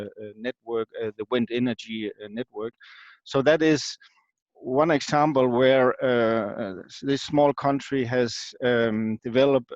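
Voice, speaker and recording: Slow, halting Danish-accented man on a dull connection. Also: mid-range voice, darkish tone, no room sound. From Denmark. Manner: halting, hesitant, very frequent ums and pauses. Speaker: guest expert, marketing professor. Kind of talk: unscripted explanation in an online interview. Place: video call. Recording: dull lo-fi online call audio.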